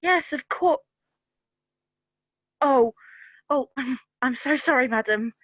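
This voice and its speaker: Girl doing a nervy apologetic waitress, highish voice, close dry but muffled recording, radio comedy. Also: slow pace, a bit bright, dry recording, heavily acted. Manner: anxious, deferential. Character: flustered, apologetic young cruise waitress. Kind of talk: comedy radio play acting. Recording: dull, muffled home recording.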